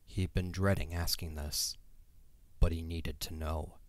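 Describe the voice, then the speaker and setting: A man's voice: rumbling low, little pitch movement, unhurried. A man reading scripted, dramatic fantasy narration as the narrator of an audio drama. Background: background music.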